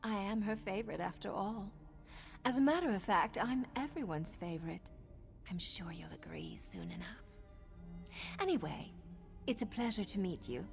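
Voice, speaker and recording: A woman's voice: mid-range voice, animated, moderate pace. Flirtatious noblewoman assassin, music behind. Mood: flirty, teasing. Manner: teasing, coquettish, breathy asides. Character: flirtatious noblewoman assassin, playful, wicked, decadent. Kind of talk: video game character acting. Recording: background music under the voice.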